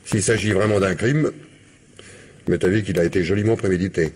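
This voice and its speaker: A man acting a brisk, weary, wry police commissioner in a French radio crime drama, with a French accent and blunt colloquial delivery. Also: low voice, darkish tone, a little hiss or hum, close to an everyday voice.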